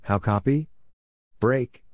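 A man's voice: medium pitch, takes it slowly, background noise. A man reading out scripted radio exchange phrases in short, clipped, telegraphic bursts. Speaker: Morse code practice instructor.

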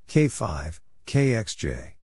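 A man with a fairly low voice reads practice material very slowly. He gives each letter and short phrase separately, in the measured manner of an instructional recording. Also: very animated, vocal fry, darkish tone, a little hiss or hum. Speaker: Morse code practice narrator. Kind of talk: reading out practice exchanges.

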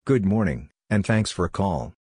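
Male narrator for a phrase drill: deep, slow, clipped and measured delivery. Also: animated, background noise. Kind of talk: scripted read-out of short radio phrases.

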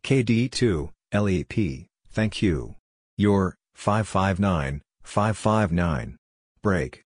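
Low, slow, husky man reading phrases. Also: expressive, darkish tone. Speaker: narrator of Morse code practice. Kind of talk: scripted readout of radio phrases.

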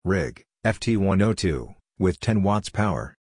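A man's voice: sits low, animated, a little slow, a little mellow, no room sound, studio quality. A man reading short scripted practice phrases as a drill narrator, one clipped phrase at a time. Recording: clearly noisy audio with clicks.

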